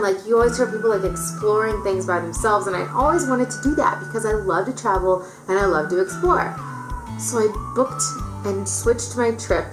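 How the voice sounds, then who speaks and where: A woman's voice: on the high side, echoey room, low-quality recording. A woman of thirty, chatting upbeat to camera as a mom vlogger, laughing often. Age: 30s. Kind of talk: casual chat to camera.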